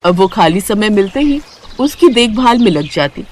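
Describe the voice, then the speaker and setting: A woman's voice: mid-range voice, big pitch swings, moderate pace, slight background noise. A woman reading scripted storybook narration for a cartoon moral tale.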